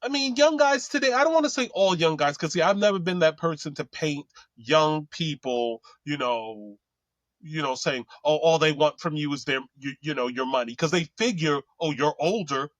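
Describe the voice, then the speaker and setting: Someone chatting casually in a halting way, often repeating words. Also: medium pitch, no echo. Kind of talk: casual chat.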